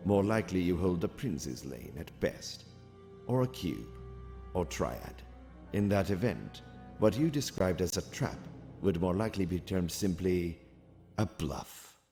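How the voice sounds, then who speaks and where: A man playing a calm, cerebral alien military cadet in an audio comic, speaking formally and with precise, measured wording. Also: deep voice, faint music behind. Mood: calm, calculating. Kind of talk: scripted audio comic acting.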